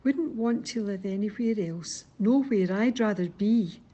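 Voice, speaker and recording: A woman's voice: medium pitch, a little slow, mellow, lo-fi sound. A woman, reading a rhyming poem in a wry recital. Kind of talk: poetry reading.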